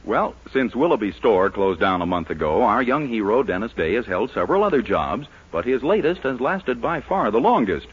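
A man's voice: medium pitch, very animated, moderate pace, few pauses, slightly rough, soft background music. An American man announcing in a polished, emphatic 1940s radio style, reading scripted copy. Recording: dull vintage 1940s radio broadcast.

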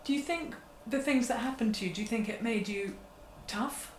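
A woman interviewer asking soft, probing, sympathetic questions. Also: on the high side, moderate pace, a little hiss or hum. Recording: roomy with faint ambience.

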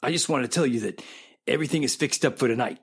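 A man's voice: medium pitch, fast talker, husky. An actor playing a dim, nervous crook's henchman with uneducated gangster talk, in 1940s radio drama style. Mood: eager, apologetic.

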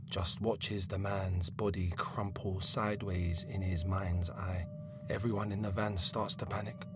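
A man narrating an audio drama intensely, sometimes dropping to a hushed whisper. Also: fairly low pitch.

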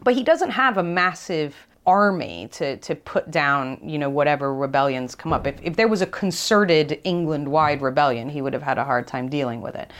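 A woman's voice: low voice, expressive, moderate pace, hardly any pauses, fairly bright tone. A woman speaking as an academic guest in a conversational interview, explaining things in a hesitant, thinking-aloud way. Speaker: academic expert guest, archaeologist.

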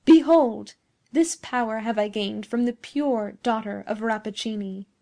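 A woman's voice: a little high, steady tone, moderate pace, gravelly. A woman voicing an enraged, venomous young man in archaic, declamatory speech for a dramatic storybook reading. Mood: scornful challenge. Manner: archaic, declamatory, forceful outbursts. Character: enraged young man, venomous and scornful toward his lover.

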